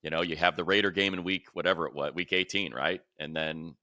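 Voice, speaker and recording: A man's voice: deep voice, steady tone, fast, pushed and bright, no echo, clean studio sound. A man hosting a sports podcast, talking through football opinions in a casual, conversational style. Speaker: sports podcast host and radio play-by-play announcer.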